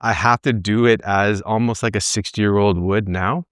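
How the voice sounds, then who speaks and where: Man, early forties, deep voice, dry close sound, informal motivational coach, conversational delivery. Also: fairly even intonation, normal speed, fairly bright tone, clean tone, no room sound. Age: early 40s. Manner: conversational, acts out his own thoughts as quotes, often tags points with "right?". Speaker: back pain coach leading a group session. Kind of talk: informal motivational talk to a group.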